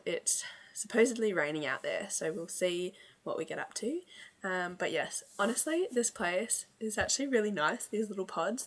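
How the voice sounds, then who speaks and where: A woman's voice: lowish voice, fairly bright tone. Chatty young woman vlogging on the go. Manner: chatty, rambling with fillers. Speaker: travel vlogger. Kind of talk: casual vlog talk to camera. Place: travel spots and a hotel pod.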